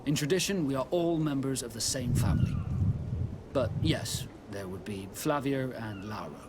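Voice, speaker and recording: An actor as a stern ship's captain, noisy background. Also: male voice, medium pitch, lightly acted. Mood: grave, concerned. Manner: formal, measured, commanding phrasing. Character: stern, formal middle-aged male ship's captain, protective of crew. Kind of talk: video game dialogue acting.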